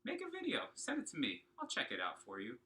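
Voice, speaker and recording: A man's voice: mid-range voice, wildly swooping pitch, fast talker, bright, forceful tone. A man giving an upbeat, encouraging lesson to children, talking to them directly and asking questions as he goes. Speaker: children's singing and opera teacher. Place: at home.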